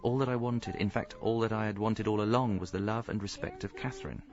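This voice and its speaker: A rueful, self-pitying middle-aged husband narrates a radio comedy, played by an actor. His deadpan voice is low and creaky, with faint music in a slightly dull recording. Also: brisk, lightly acted.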